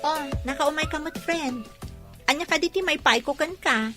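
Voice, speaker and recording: A woman's voice: high voice, normal speed, pushed and bright, faint music behind. A woman playing a cautious, skeptical young village woman in a radio drama, with an Ilocano accent and a doubtful, exclamatory manner. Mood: teasing surprise. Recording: clear background noise and outdoor ambience.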